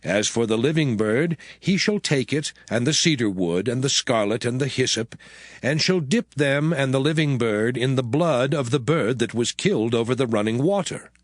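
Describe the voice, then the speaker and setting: A man reading scripture aloud in a measured, solemn recitation. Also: medium pitch, expressive, no room sound, clean studio sound. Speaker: scripture reader. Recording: faint background music.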